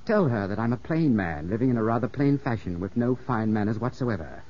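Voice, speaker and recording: An actor playing a proud, suspicious young country gentleman in a 1950s radio drama, speaking in a clipped, formal, brooding way. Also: on the low side, animated, brisk. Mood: curt, proud. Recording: muffled 1950s radio recording, loud music.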